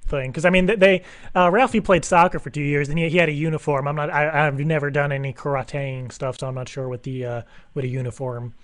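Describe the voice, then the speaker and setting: A man playing a high-voiced, rambling clueless dad. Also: fairly even intonation, a bit bright, dry recording, close to an everyday voice. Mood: puzzled, inquisitive. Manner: halting, repetitive, stumbling questions. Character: rambling, clueless, doting single dad asking about karate lessons. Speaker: radio show prankster. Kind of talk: improvised prank phone call.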